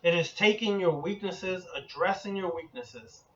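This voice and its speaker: A man with a slightly raised pitch teaches in a measured, deliberate way, stacking his points one after another in lists. The recording is dull and lo-fi, and he sounds set back from the microphone. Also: distant mic. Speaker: occult teacher. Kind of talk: spoken lecture.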